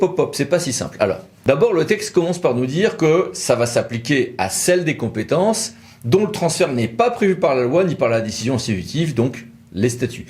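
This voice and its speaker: A man's voice: mid-range voice, a little mellow. A man presenting a legal explainer in a conversational, didactic way, thinking aloud through rhetorical questions.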